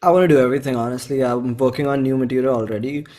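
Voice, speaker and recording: A man's voice: medium pitch, fairly even intonation, racing, warm tone. A man in his twenties from India, with an Indian accent, chatting casually as a musician guest in an enthusiastic, effusive, slangy way. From Bhopal, India. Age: 20s. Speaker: indie musician, interview guest. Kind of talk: casual chat.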